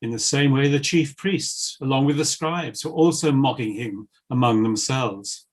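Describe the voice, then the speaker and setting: Male narrator for a scripture reading: husky, medium-pitched, grave and formal. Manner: measured, formal.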